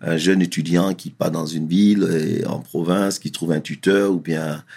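A man who grew up in France, telling his life story in a relaxed, slangy, candid way as an interview guest. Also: low-pitched, normal speed, husky.

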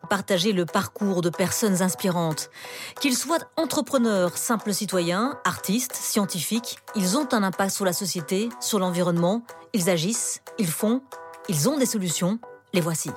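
A woman's voice: mid-range voice, animated, normal speed, soft background music. A woman hosting a podcast, reading a polished introduction and asking interview questions. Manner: polished, clear presenter delivery. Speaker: podcast host.

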